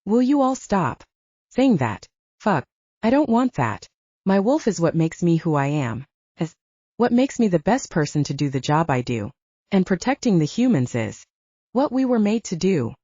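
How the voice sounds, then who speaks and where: A woman's voice: on the low side, big pitch swings, moderate pace, dark tone, dry recording, dull audio. A woman voicing a defiant, defensive younger werewolf brother, a terse and stubborn lawman, in an audiobook. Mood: angry.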